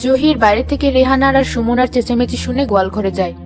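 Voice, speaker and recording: A woman's voice: on the high side, fast talker, over music. A woman reading scripted narration for a cartoon village fairy tale.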